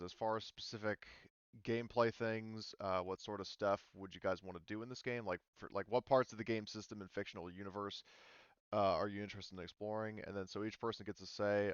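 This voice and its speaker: Man, low smooth voice, game master, casual organised talk, slightly dull dry voice-chat sound. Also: no room sound. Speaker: game master hosting a tabletop RPG group. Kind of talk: casual group discussion, asking players questions.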